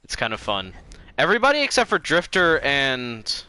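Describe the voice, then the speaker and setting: A man livestreams a game in a bright, clear voice at a middle pitch. He talks casually, drifting between thoughts and repeating phrases as he works out what he means. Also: wildly swooping pitch, moderate pace. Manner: rambling, easily distracted, repeats himself. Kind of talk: casual livestream chat while gaming.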